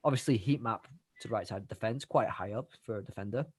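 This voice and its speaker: A man's voice: fairly low pitch, quick pace, darkish tone, husky, no room sound, clean studio sound. A man co-hosting a live football watch-along, casually analysing players and reading out match stats.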